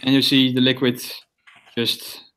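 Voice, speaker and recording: Flat-voiced man with a Dutch accent. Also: medium pitch. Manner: halting, many restarts. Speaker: call guest. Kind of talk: casual online voice chat.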